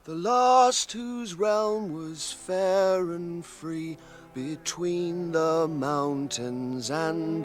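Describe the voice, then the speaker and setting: An actor in a radio drama playing a plain-spoken, loyal hobbit servant with a homely, blunt manner. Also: male voice, sits high, bright, forceful tone, heavily acted.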